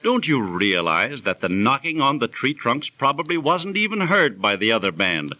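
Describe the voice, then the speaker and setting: An actor playing an assured, sceptical storyteller, articulate and didactic, in 1930s radio drama style. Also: male voice, medium pitch, sharp tone, dry recording, low-quality recording. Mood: insistent, sceptical. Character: know-it-all storyteller, sceptical friend of a businessman. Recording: dry 1930s radio studio recording.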